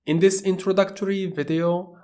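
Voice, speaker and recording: A man from Morocco, narrating a tutorial course in a plain, steady explaining manner. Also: sits high, normal speed. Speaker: course instructor.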